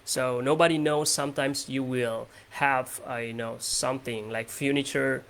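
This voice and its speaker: A young man from Indonesia in his twenties, with a gravelly voice and a middle pitch. He hosts a casual English speaking practice over a video call. He talks in a relaxed way and uses lots of fillers. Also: moderate pace. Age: 20s.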